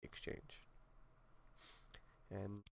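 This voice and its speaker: A man's voice: extremely slow, slight background noise. A young man with a congested, nasal voice, casually talking through his game setup with lots of fillers. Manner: laid-back, rambling, many fillers. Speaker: gaming video creator. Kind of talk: casual walkthrough commentary.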